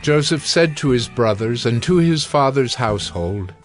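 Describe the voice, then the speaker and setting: A man with a medium-pitched voice narrates scripture. He reads in a measured, formal manner with light music underneath. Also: animated. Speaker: Bible narrator. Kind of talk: dramatized scripture reading.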